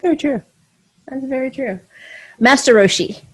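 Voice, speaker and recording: A woman's voice: high voice, normal speed, breathy edge. A middle-aged woman hosting a casual, chatty interview. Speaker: interview host. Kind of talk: casual chat.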